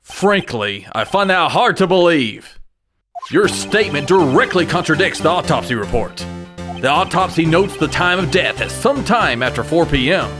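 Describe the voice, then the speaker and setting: A man's voice: on the high side, animated, normal speed, close to an everyday voice. A man playing a young, earnest and nervous rookie defense lawyer in a courtroom game fan dub, over music. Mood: skeptical. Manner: jumpy and hesitant, turning emphatic.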